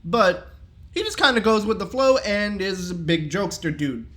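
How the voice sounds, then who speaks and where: A man giving a casual, enthusiastic review in an animated, jokey, slangy way. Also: high-pitched, moderate pace.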